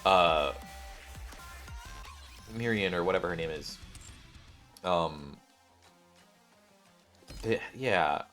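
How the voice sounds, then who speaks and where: A man doing casual, slangy gameplay commentary, thinking out loud as he plays. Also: low voice, drawn-out words, pauses often. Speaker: gaming streamer. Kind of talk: casual gameplay commentary, reading dialogue aloud. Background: game music.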